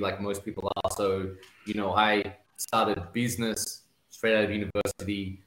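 A man's voice: lowish voice, a bit bright, echoey room, poor audio. A man with an Australian accent, a podcast guest telling his story casually with lots of fillers and restarts. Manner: rambling, many "you know" fillers and restarts. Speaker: podcast guest, real estate investor.

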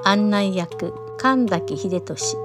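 A woman's voice: medium pitch, very slow. A woman announcing a radio drama programme in a scripted style. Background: loud music.

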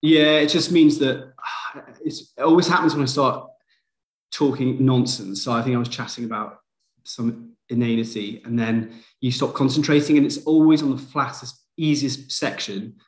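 A man's voice: mid-range voice, steady tone, moderate pace. A man from Liverpool, a GP, chatting as a podcast guest and telling stories in a self-deprecating way with plenty of fillers. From Liverpool, England. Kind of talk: casual conversation. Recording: roomy echo.

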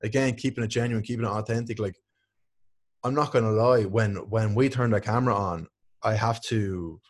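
A man's voice: lowish voice, normal speed. A young man co-hosting a podcast, rambling and joking with a lot of swearing in loose banter. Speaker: podcast co-host. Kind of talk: casual chat.

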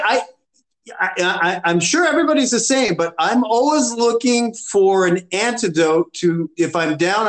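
A man co-hosting a casual podcast chat, talking in halting restarts and laughing easily. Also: sits high. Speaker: podcast co-host.

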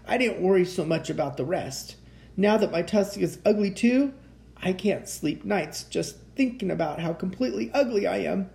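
A man's voice: a little high, gravelly, darkish tone. A man voicing a huge, self-pitying old rhinoceros in a children's storybook, with dramatic, emphatic delivery.

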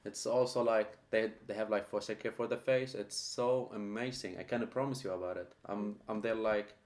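A man's voice: lowish voice, fairly even intonation, normal speed, darkish tone. A man, a podcast guest giving friendly, agreeable answers. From Syria. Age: 20s. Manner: friendly, agreeable, short replies. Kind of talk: casual interview chat.

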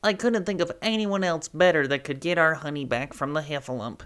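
Cheerful, encouraging storybook bee, done by the narrator, eager to please. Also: male voice, a little high, fairly quick, a bit bright, clear, resonant tone, lightly acted. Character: friendly eager male bee.

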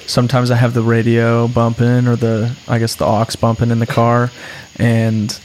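A young man chatting in an easygoing, laid-back way. His voice stays very flat and even, with little rise or fall. A faint background noise sits under the recording. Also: medium pitch, normal speed. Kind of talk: casual chat.

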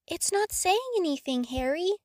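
A woman's voice: extremely high pitch, lively intonation, normal speed, a little mellow, no echo, studio quality, pitched above the performer's own voice. A woman voicing a curious, friendly and helpful schoolgirl in a storybook reading.

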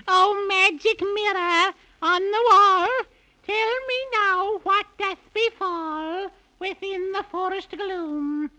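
Wicked old witch for vintage children's radio play: very slow, piercing, cackling, theatrical, gloating. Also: very high-pitched, bright, forceful tone, exaggerated voice, rough recording. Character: wicked old witch, gleeful, cackling, chanting spells in rhyme. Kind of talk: 1930s children's radio drama acting. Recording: old 1930s radio recording.

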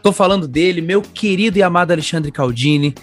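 A man's voice: sits high, fast talker, faint music behind. A man with a Carioca accent hosting a casual podcast chat, speaking in an effusive, informal way. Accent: Carioca (Rio de Janeiro) Brazilian Portuguese. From Brazil, born in Bahia, raised in Rio de Janeiro. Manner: effusive, informal, addresses guest affectionately. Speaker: podcast host.

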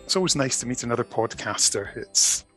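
Guy hosting a podcast, bright voice, upbeat, faint music behind. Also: mid-range voice, animated, fairly quick, husky, low-quality recording. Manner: upbeat, asks short questions. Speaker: podcast host. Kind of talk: friendly interview hosting.

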